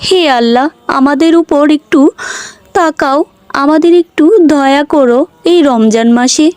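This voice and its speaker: Cartoon mother, played by a woman: poor, humble, worried, high-pitched, dry recording. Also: a little slow, dry recording. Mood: despairing, praying. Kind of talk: children's cartoon story dialogue.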